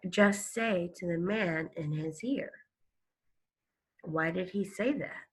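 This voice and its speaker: A woman teaching young children, reading aloud and explaining in a deliberate, emphatic, questioning way. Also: mid-range voice, creaky, low-quality recording. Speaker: second grade teacher. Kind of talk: instructional read-aloud for children.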